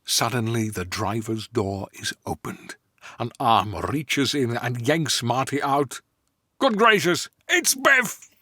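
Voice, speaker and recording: A man doing a comic impression of a genteel, grand stage actor, narrating screenplay directions deliberately and dramatically. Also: mid-range voice, expressive, bright, forceful tone, dry recording, clean studio sound, heavily acted. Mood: dramatic.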